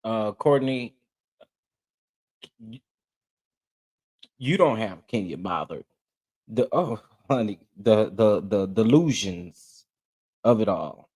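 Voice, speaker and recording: A man giving sassy, scolding reaction commentary over an interview clip, with drawn-out exclamations. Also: on the low side, lively intonation, a little mellow, breathy edge.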